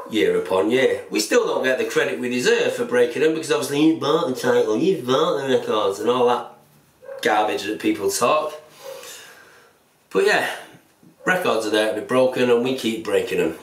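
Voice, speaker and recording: A man's voice: mid-range voice, recorded from a distance. A bearded man in his forties, seated at a table in a small room, talking casually to camera as a football fan, chatty and plain-spoken. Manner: chatty, plain-spoken, self-described pessimist. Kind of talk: casual solo commentary to camera. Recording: roomy echo, mic set back.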